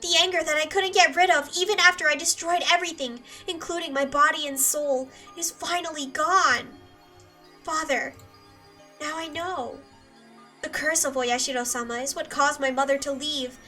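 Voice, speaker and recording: A woman's voice: high-pitched, sharp tone, far from the mic. An actress voicing an unhinged, guilt-ridden teenage girl in a dubbed anime drama, obsessively repeating apologies as she swings between whispers and screams.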